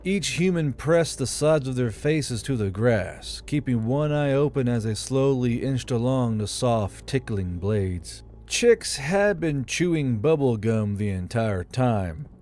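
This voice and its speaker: A man's voice: medium pitch, expressive, slow pace, few pauses, darkish tone. A man reading scripted fiction as an audiobook narrator.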